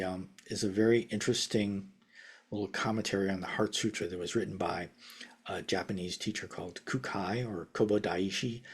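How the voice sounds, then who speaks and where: An older man teaching casually over a video call from his home study. His talk rambles, with restarts, chuckles and phrases that trail off softly. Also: fairly low pitch, slightly rough. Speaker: meditation teacher. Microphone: video call webcam.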